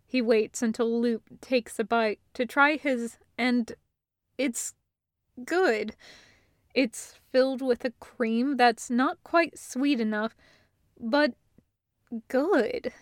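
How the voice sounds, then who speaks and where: Unhurried woman narrating a story. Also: a little high, no room sound, clean studio sound. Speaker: audiobook narrator. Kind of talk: scripted fan fiction narration.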